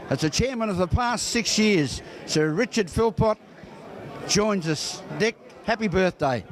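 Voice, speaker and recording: A male Australian reporter with a notably high voice conducts on-location interviews for local news. His phrasing is florid and ceremonious. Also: expressive, unhurried. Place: busy celebration venue.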